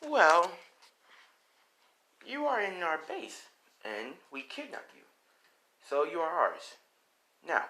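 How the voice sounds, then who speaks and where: A man's voice: fairly high pitch, wildly swooping pitch, slow, pauses often, bright, forceful tone, pitched above the performer's own voice, full character voice. A man voicing a playful, sadistic young female villain in a teasing sing-song way, in amateur fan-fiction storytelling. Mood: teasing menace.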